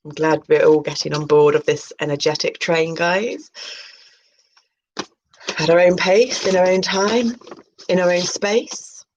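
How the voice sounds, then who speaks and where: A woman's voice: lowish voice, fairly even intonation, a little slow, long pauses, a little hiss or hum. A woman hosting a video, reading aloud about tarot with playful, chatty spiritual asides. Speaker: video host. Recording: muffled, dull lo-fi sound.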